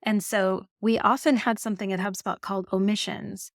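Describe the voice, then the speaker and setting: Casting: middle-aged Canadian woman, animated storyteller, mid pitch, dry close mic. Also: normal speed, no echo. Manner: animated storytelling, laughs often. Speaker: marketing executive guest. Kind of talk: podcast interview conversation.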